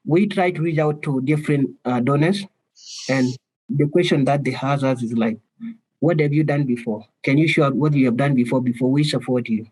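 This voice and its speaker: A man's voice: medium pitch, moderate pace, darkish tone, slightly rough, rough recording. A man from South Sudan asking a question on a webinar, earnest and hesitant, over a video call.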